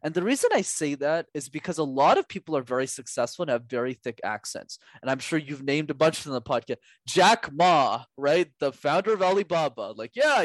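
Energetic guy explaining his speaking tips, voice jumps all over, pretty high, super dry close mic. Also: dry recording. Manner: energetic, emphatic, animated explanations. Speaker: podcast guest, public speaking coach. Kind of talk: casual interview chat.

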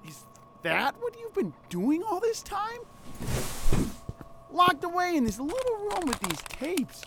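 A man's voice: very high-pitched, wildly swooping pitch, slow pace, background noise, full character voice. A man playing a defiant teenage rebel leader in an audio drama, blunt and sarcastic but earnest. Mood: bitter, earnest.

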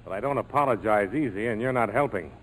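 Man playing a low-voiced, wry American major. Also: fast, natural voice. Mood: wry, pressing. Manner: wry, clipped, persuasive. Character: tough wry American ex-army major, cool and commanding. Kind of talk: 1940s radio acting. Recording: noisy old 1940s radio broadcast.

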